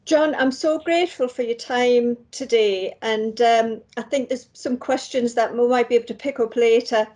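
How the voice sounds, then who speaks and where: A woman's voice: a little high, normal speed, few pauses, darkish tone. A woman moderating a webinar, offering grateful thanks to a speaker.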